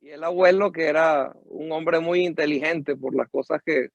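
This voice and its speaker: An older man with a Venezuelan accent, a musician and teacher telling his life story in an interview, measured and reflective. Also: on the high side, fairly even intonation, vocal fry, no room sound, dull audio. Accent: Venezuelan Spanish.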